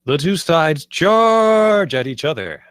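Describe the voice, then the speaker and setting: A man's voice: a little high, very animated, takes it slowly, a bit bright, clean tone, no echo, clean studio sound. A man reading stage directions aloud as scripted screenplay narration.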